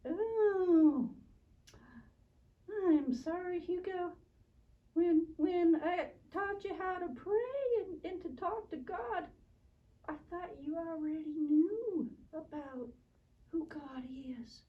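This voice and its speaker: A kind, enthusiastic puppet teacher, voiced by a woman, with a very high voice. She explains things slowly, simply and with earnest emphasis, as in a children's show. The sound is muffled. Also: recorded from a distance. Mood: gentle, earnest.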